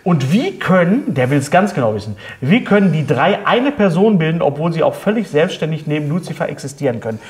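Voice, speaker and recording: Higher-pitched middle-aged man hosting a talk. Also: very animated, a little fast, hardly any pauses, a little mellow. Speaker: talk show host. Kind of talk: casual interview chat, reading out fan questions. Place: indoor studio set at a table.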